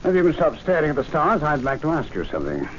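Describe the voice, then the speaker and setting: An actor playing an urbane, calm, wryly measured clubman in a radio drama. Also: a little high. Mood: dry, patient. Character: urbane, unruffled clubman, mildly amused peacemaker. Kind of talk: old-time radio drama acting. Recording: dull hissy vintage radio recording.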